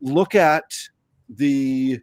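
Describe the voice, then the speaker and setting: A man's voice: mid-range voice, very slow, darkish tone, no room sound. A man hosting a casual podcast chat, rambling with many fillers and restarts and chuckling now and then. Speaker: podcast host.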